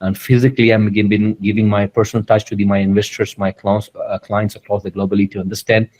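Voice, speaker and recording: Low-voiced man, earnest and promotional. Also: little pitch movement, fast talker, a little mellow. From Dubai, UAE. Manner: earnest, repetitive, promotional, with many restarts. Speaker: business founder, interview guest. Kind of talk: conversational interview.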